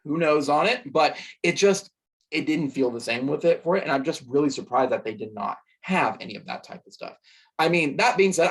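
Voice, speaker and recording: A young man with a hoarse voice, casually recapping a football game he attended, rambling with fillers. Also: fairly high pitch. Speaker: sports podcast host.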